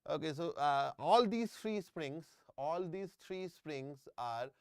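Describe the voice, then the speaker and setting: A man's voice: fairly high pitch, lively intonation, takes it slowly, darkish tone, smooth, no room sound, clean studio sound. A middle-aged man with an Indian English accent, teaching a live online engineering class, often repeating himself and checking in with students. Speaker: engineering exam tutor. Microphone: close microphone.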